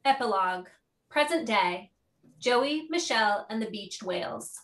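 Middle-aged woman to read stage directions: bright, crisp-edged higher voice, scripted delivery, a little off the microphone. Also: lively intonation, a little slow, far from the mic. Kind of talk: scripted narration. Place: home office, video call.

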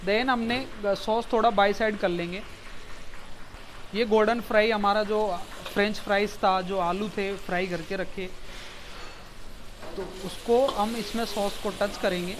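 A man's voice: high-pitched, steady tone, unhurried, slight background noise. A man in his thirties, a chef giving a step-by-step cooking demonstration in a restaurant kitchen on a lapel mic, mixing English words into his talk. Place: commercial kitchen.

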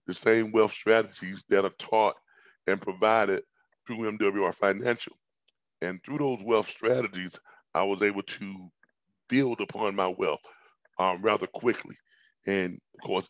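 A hoarse middle-aged man giving a rambling business pitch over a headset on a video call from home, with frequent ums, repeated words and coughs. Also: a bit bright, no echo, low-quality recording. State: hoarse, recovering from illness. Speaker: business presenter. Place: indoors at home, large room with staircase.

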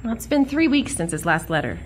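An actress playing a dreamy, earnest young museum clerk in a comedic audio drama, sheepish and prim. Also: female voice, on the high side, big pitch swings, a little fast, a little hiss or hum. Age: young adult.

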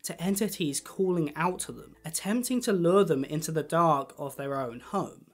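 A man's voice: on the high side, normal speed, a little hiss or hum. A man narrating a scripted, conversational video essay as a YouTuber, with wry, jokey asides.

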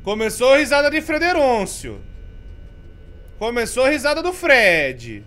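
A Brazilian man in his thirties, a streamer giving jumpy commentary as he plays a horror game, swinging from mumbles to yells. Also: extremely high pitch, very animated, a little slow. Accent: Brazilian Portuguese. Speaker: gaming streamer. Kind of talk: let's play commentary. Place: home streaming room with colored lights.

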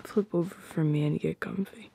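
A woman voicing a tender, playful, possessive girlfriend in an ASMR roleplay, speaking softly and intimately close to the microphone in a breathy, often whispered voice. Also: on the low side, slight background noise. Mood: soothing, tender. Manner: soft, intimate, unhurried, teasing. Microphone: close microphone, dry.

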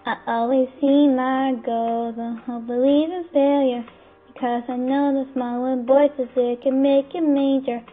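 Woman cover singer with a high voice, unhurried sung phrasing. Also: level tone. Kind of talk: singing a pop song cover. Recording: tinny phone-like sound with faint backing music.

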